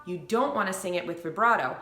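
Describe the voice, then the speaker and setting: A woman vocal coach explaining breath support and pitch in a clear, upbeat teaching manner, with short sung demonstrations. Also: expressive, fast, a bit bright, echoey room. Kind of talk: instructional video.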